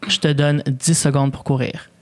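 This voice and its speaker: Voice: medium pitch, fairly even intonation, moderate pace. Twenty-four-year-old Québécois podcast co-host, Spanish native tongue; easy, slangy, profane banter. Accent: Québécois French. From Quebec, Canada. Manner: slangy, swears casually, drops English words. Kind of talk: casual chat.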